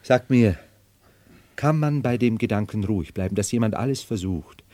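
Man playing a scornful, low-voiced feigned-mad nobleman. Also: normal speed, dark tone, dry recording, natural voice. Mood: brooding, insistent. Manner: theatrical, scornful, swinging from brooding to commanding outbursts. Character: bitter, mocking nobleman who feigns madness as emperor. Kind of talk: 1970s German radio play acting.

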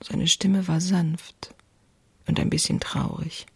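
An actress playing a passionate, anxious woman writer in her thirties, reading her diary in an intimate, confessional way with a husky, breathy voice. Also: fairly low pitch, steady tone, slow, darkish tone, no room sound, heavily acted. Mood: intense, wistful. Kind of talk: dramatic literary diary reading.

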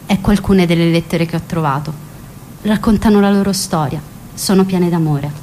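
An actress voices a young woman, wistful and passionate, in a lowish register. She narrates a radio drama in an intimate, reflective manner. A faint rumble sits behind her. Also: normal speed, warm tone, natural voice. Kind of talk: scripted radio drama monologue and letter reading.

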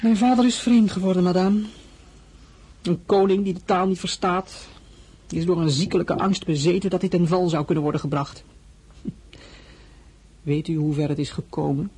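An actor playing a young crown prince in a radio play, formal and earnest, sometimes getting heated. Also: male voice, high-pitched, moderate pace, long pauses, a little mellow, husky.

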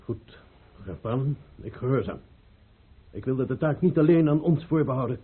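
A man's voice: mid-range voice, lively intonation, moderate pace, breathy edge. An actor playing a suspicious, blunt general in a radio play, formal and challenging in his speech. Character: suspicious, blunt male general among conspirators. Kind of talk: Dutch radio play acting. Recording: thin, phone-like old radio recording.

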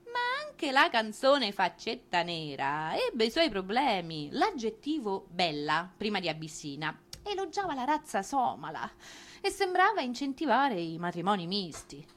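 Female theatre narrator: lively swings in pitch, ironic tone, expressive monologue with dramatic pauses. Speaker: stage narrator and performer.

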